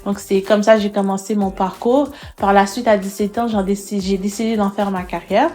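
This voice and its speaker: A woman's voice: medium pitch, fairly even intonation, fairly quick, darkish tone, soft background music. A young woman singer, answering interview questions casually, with hesitant fillers and restarts.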